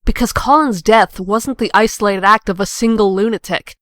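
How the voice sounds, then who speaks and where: Woman playing a snarky, clear-voiced punk woman. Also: medium pitch, moderate pace, a bit bright, dry recording, clean studio sound, close to an everyday voice. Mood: sarcastic, scornful. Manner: sarcastic, biting. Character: snarky, defiant young punk woman, bitter sister. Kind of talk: comic dub acting.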